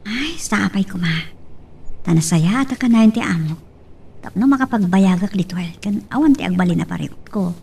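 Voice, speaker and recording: A woman with an Ilocano accent playing an eager, expressive mother working abroad as a maid in an amateur audio drama. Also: medium pitch, a little fast, creaky, husky. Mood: musing. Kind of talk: amateur Ilocano radio-style drama acting. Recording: distant, off-mic home recording.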